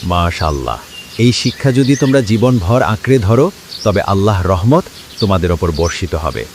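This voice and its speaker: Low-voiced kindly village imam acted by a man. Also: big pitch swings, brisk, slight background noise. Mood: earnest, instructive. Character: kindly village imam, patient religious teacher of children. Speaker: imam teaching children a surah. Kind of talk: scripted cartoon dialogue and Quran recitation.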